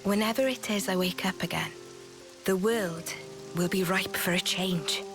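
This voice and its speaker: A woman playing a weary, regal queen in a video game, speaking gravely and calmly with a hushed, measured manner. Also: medium pitch, over music, lightly acted. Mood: solemn, weary. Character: solemn, weary, regal queen, cryptic and calm. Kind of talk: video game cutscene acting.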